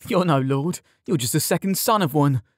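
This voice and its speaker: A man's voice: on the high side, lively intonation, normal speed, no room sound, studio quality, pitched above the performer's own voice. A man voicing a mocking, insolent stable boy in an audiobook, taunting and bullying. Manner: taunting, sneering.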